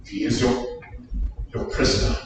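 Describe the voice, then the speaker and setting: A man's voice: a little slow, slight background noise, lightly acted. A man reading an impatient, scheming noble lord in a staged Shakespeare reading, dismissive in tone. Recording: echoey hall, far from mic.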